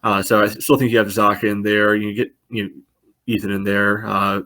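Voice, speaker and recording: A man casually analysing sport as a podcast host, in a halting way peppered with filler phrases. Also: fairly low pitch, little pitch movement, pushed and bright. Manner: halting, repeatedly peppers speech with "you know".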